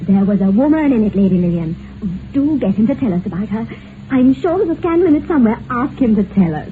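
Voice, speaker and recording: A thin, noisy vintage radio recording of an excitable society lady. Her voice is high and very lively in pitch, with a dramatic, emotional upper-class air. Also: fast, phone-quality sound. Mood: prying. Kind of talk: old-time radio drama acting.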